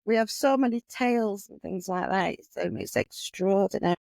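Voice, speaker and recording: A woman's voice: mid-range voice, normal speed, vocal fry, darkish tone, dry recording, clean studio sound. An English woman from England, a guest artist in a casual chat, speaking in a meandering, hesitant way. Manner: meandering, hesitant, with "you know" and "sort of". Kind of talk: casual conversation.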